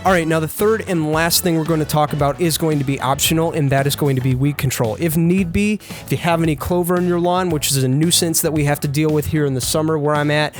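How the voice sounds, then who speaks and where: A man's voice: fairly high pitch, fast, hardly any pauses, over music. A man hosting an upbeat how-to tutorial on lawn care, chatty and talking straight to his viewers as "you guys.".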